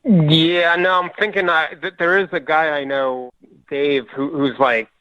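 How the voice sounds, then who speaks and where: A man calling in to a radio show over the phone, talking casually in a hesitant, halting way. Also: a little high, steady tone, pushed and bright, dry recording. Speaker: phone-in caller. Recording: muffled radio phone line, dry.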